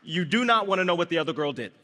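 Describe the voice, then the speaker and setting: A young man from Brazil, raised in Guyana, giving a lively startup-founder speech on stage. He tells his story with comic timing and talks straight to the crowd. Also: high voice, racing. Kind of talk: live stage speech with audience call and response. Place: large event hall before an audience. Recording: reverberant hall through a PA.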